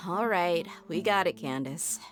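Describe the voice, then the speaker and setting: Brash game mercenary, very animated delivery. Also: female voice, on the low side, slow pace. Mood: grudging. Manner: brash, scolding. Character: fiery, blunt female mercenary, hot-tempered and brash. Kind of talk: video game voice acting. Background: music.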